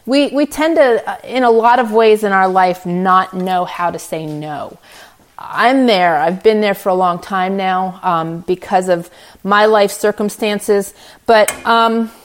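A woman's voice: mid-range voice, a little slow. A middle-aged woman speaking as a podcast host, giving an informal motivational talk in an earnest, encouraging, conversational way.

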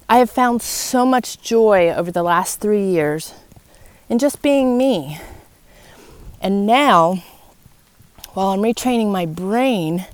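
A woman hosting a podcast, giving an earnest, reflective, encouraging motivational talk about life and faith in short phrases with pauses. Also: medium pitch, darkish tone, no echo. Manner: encouraging, addressing listeners directly. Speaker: podcast host. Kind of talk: personal, faith-based motivational talk to listeners. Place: outdoors in wind.

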